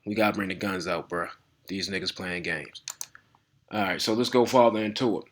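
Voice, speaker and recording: A man with giving a casual lecture to viewers. He reads text aloud haltingly, with restarts, and keeps asking "all right?". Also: deep voice, a little hiss or hum. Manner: talks informally, often asking "all right?". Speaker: video host.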